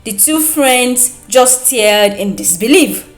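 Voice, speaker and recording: A woman with a Nigerian accent narrating a folktale in an expressive, deliberate, dramatic way. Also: on the high side, echoey room. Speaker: storyteller, narrator.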